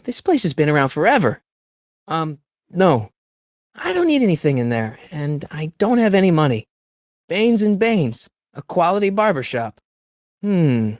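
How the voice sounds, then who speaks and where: An American teenage boy for a video game, with a high, youthful voice that jumps around expressively. He is excitable and wisecracking, with a hesitant, stammering boyishness. The sound is dry and clean, with no room around it. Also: dry recording. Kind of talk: video game voice acting, short character lines.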